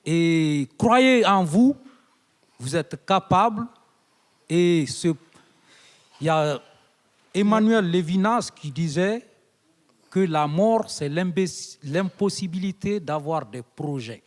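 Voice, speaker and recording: A man's voice: high voice, expressive, slow, long pauses. A man from Guinea giving an invited conference speech, building to emphatic appeals. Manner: oratorical, rising to emphatic appeals. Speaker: invited guest speaker. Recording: long hall reverb.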